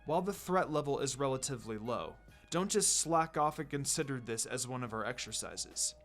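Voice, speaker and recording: A narrator voicing a calm, lazy male ninja teacher who instructs in a measured, low-key way. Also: faint music behind. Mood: calm, instructive.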